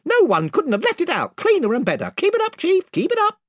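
A man's voice: very high voice, wildly swooping pitch, brisk, studio quality, pitched above the performer's own voice, heavily acted. A man voicing a chorus of eager, fawning villagers who keep echoing agreement.

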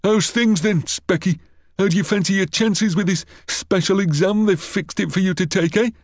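A sneering schoolboy bully, acted out by a male narrator, pitched high and recorded dry and close. He is cocky and crude, mocking his victim with malicious jeers in a storybook reading. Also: moderate pace, no room sound. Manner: mocking, jeering taunts.

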